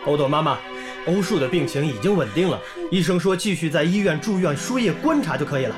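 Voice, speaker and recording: An actor playing a devoted young village schoolteacher in a radio drama, earnest, caring and expressive. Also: male voice, fairly high pitch, fast talker, lightly acted. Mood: reassuring. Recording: music under the voice.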